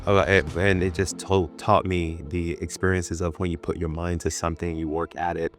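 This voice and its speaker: A man's voice: low-pitched, level tone, normal speed, soft background music. A man in his thirties from Ghana and Brooklyn, telling personal stories conversationally as an interview guest, repeating phrases for emphasis. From born in Ghana, grew up in Brooklyn, New York. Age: 30s. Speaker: venture capital partner, interview guest.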